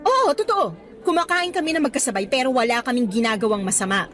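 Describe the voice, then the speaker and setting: High-voiced, stern young schoolteacher. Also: female voice, animated, quick pace, a bit bright. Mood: indignant, resolute. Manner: scolding, emphatic, earnest. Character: stern, principled young female schoolteacher, hurt and indignant. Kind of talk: Tagalog radio drama acting. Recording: music bed behind the voice.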